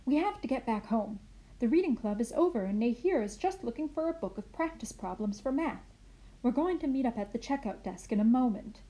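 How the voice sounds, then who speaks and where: A woman voicing a brisk, friendly, practical mother in a children's story. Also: on the high side, animated, dark tone, lightly acted. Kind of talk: children's picture story reading.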